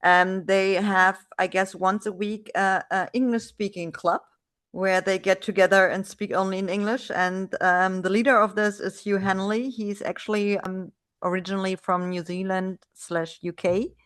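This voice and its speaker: A woman from Germany with a German accent, hosting a relaxed video-call interview in English and talking in a chatty way with many restarts. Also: medium pitch, normal speed, clean tone. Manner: chatty, frequent restarts and fillers. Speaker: podcast host.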